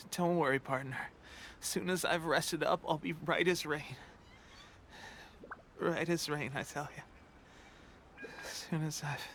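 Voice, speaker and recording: An actor playing a young resistance soldier who is weak and tired, coughing and speaking in halting, hesitant phrases. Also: male voice, a little high, fairly bright tone, slight background noise. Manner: halting, hesitant, with trailing restarts. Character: young male resistance soldier, loyal, fading. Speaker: game character. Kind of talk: game cutscene voice acting.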